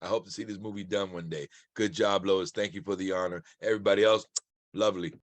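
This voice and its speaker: A man's voice: deep voice, fairly even intonation, fast, no room sound. An American man, an actor, giving informal, appreciative comments during a question-and-answer session.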